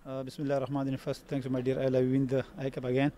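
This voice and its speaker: A cricket captain from Afghanistan with an Afghan accent, giving a halting post-match interview full of fillers and restarts, outdoors at the ground. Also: male voice, medium pitch, completely flat delivery, dark tone. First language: Pashto. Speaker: team captain.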